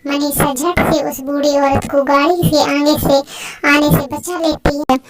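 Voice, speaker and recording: A woman's voice: sits high, flat delivery, measured pace, loud music behind the voice. A woman giving scripted narration for a cartoon moral story.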